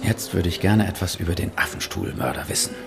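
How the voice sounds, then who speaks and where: An actor playing a curious, probing, wryly teasing reporter in a conversational radio drama. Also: male voice, low-pitched, lively intonation, brisk, creaky, faint music behind.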